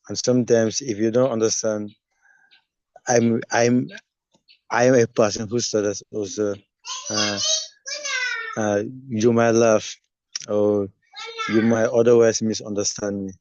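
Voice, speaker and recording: A man who stutters, speaking haltingly on a video call during a webinar. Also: lowish voice, a little mellow, dry recording. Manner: stutters, halting with many pauses. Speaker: webinar participant. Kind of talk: spontaneous comment. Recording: dry muffled video call audio.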